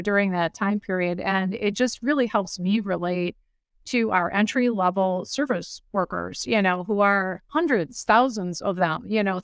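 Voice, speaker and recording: Midwestern american woman in her 50s, interview guest chatting thru her story, clean smooth voice, no room echo. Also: medium pitch, clean studio sound. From United States, small town in Illinois. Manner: articulate, explanatory, peppered with "you know". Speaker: interview guest, healthcare executive. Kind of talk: conversational personal storytelling in an interview.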